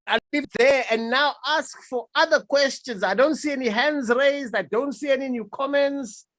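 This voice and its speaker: A woman hosting an online discussion, speaking in a measured, formal way and inviting others to join in. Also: high-pitched, fairly bright tone. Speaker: discussion host. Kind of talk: webinar moderating.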